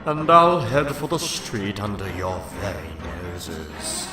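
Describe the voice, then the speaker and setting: A man's voice: lowish voice, big pitch swings, slow. A man voicing a sly, gloating comic-book villain who schemes with self-satisfaction in a dramatic reading. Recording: roomy echo, loud music underneath.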